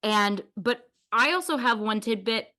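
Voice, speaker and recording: A woman's voice: fairly high pitch, expressive, a little slow, pushed and bright. A woman hosting a podcast, chatting casually in an effusive, informal way. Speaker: podcast host.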